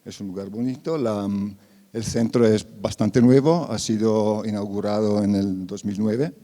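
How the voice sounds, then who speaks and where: A man with a fairly low, mellow voice delivers an academic lecture in a long-reverb hall. He speaks haltingly, restarting phrases and filling pauses as he goes. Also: fairly even intonation. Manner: halting, frequent fillers and self-corrections. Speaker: invited lecturer.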